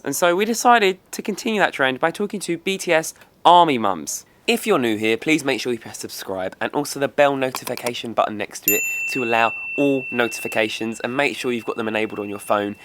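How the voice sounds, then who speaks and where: A British woman hosting, giving a scripted, upbeat introduction straight to camera. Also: rumbling low. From Britain. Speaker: host.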